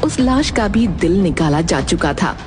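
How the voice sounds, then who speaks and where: A woman's voice: lowish voice, lively intonation, a little slow, music in the background. A woman narrating a scripted horror story in a dramatic storytelling manner.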